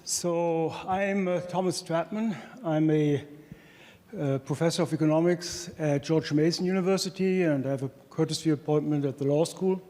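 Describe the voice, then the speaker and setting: A man's voice: fairly high pitch, fairly even intonation, warm tone. German guy, middle-aged, handheld mic at a conference, lots of uh and restarts, reverby hall. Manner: halting, frequent restarts and fillers. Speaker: economics professor presenting research. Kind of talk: conference talk. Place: large conference hall, at a podium. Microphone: handheld microphone.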